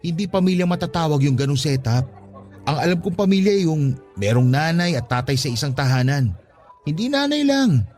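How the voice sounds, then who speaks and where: A man's voice: fairly high pitch, big pitch swings, moderate pace, a little mellow, soft background music. A man playing a smitten young father in a Tagalog radio drama, earnest, coaxing and insistent with his ex. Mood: earnest pleading.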